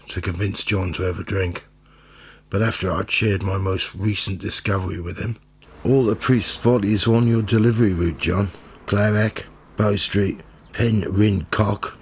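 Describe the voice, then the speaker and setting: Low, creaky, cynical newspaper man, played by an actor. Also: fairly even intonation, normal speed, full character voice. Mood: coaxing, sly. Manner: sardonic, goading. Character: cynical, smug male tabloid reporter narrating his own investigation. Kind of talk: radio play acting with first-person narration.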